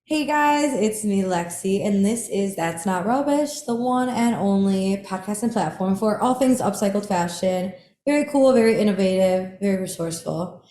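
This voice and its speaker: A young woman hosts a podcast in relaxed chat, giggling often and filling her talk with little "like"s. Her voice is mellow and soft-edged, and the room around her rings with a roomy echo. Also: medium pitch, normal speed, a little hiss or hum. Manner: giggly, chatty, lots of "like". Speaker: podcast host. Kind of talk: casual chat. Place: in person, indoors.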